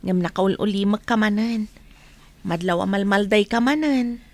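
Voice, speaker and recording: A woman's voice: mid-range voice, moderate pace, darkish tone, lightly acted. An actress playing a caring younger woman in a radio drama, sympathetic and solicitous, with an Ilocano accent. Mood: concerned. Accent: Ilocano, northern Philippines.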